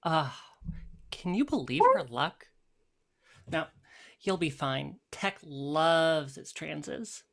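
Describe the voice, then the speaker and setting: A woman's voice: low voice, animated, takes it slowly, vocal fry, pauses often, heavily acted. A woman playing a sarcastic, catty, world-weary care worker in a play reading, with a dry mock-sweet delivery. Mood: teasing.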